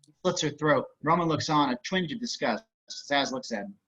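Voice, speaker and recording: A man's voice: mid-range voice, animated, a little fast, bright, forceful tone. A man reading screenplay action as scripted narration over a video call. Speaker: stage directions reader. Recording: slightly muffled video-call audio.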